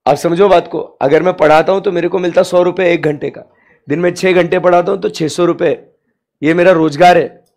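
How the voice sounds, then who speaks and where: A man's voice: high voice, steady tone, a little mellow. A young man lecturing a class with a sore throat, mixing Hindi and English and quizzing students with rhetorical questions. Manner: asks students rhetorical questions, drawn-out emphatic English phrases. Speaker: commerce lecturer.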